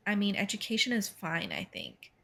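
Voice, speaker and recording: A woman's voice: mid-range voice, huge pitch swings, normal speed, gravelly, pushed and bright. A woman in her twenties from Taiwan with a North American accent, chatting casually as a podcast co-host, full of fillers and quoted asides. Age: 20s. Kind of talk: casual chat.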